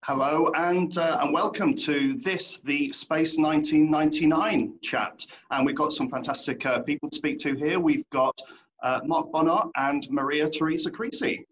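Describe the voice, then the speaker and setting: A man's voice: a little high, normal speed, a bit bright. A man hosting a casual interview over a video call, polite and informal, with lo-fi sound. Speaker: host. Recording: dull lo-fi call audio.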